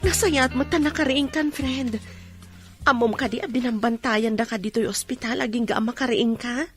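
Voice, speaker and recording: A woman's voice: on the high side, normal speed, faint music behind, close to an everyday voice. An actress playing a caring, emotional young female friend in a radio drama. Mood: gentle.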